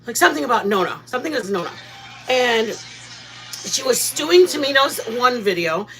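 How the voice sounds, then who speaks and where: A woman hosting a casual live cooking stream from her home kitchen, excitable, rambling and quick to giggle. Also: fairly high pitch, over music, rough recording.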